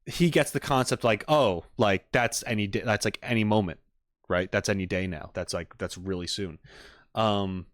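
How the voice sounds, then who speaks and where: A man's voice: on the low side, normal speed, no room sound, studio quality. A man chatting casually as a streamer on a reaction stream, musing aloud as he goes.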